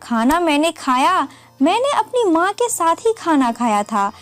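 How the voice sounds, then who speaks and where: A woman's voice: very high voice, very animated, measured pace, dry recording, soft background music. A woman narrating a scripted, emotional first-person family story in a dramatic storytelling manner.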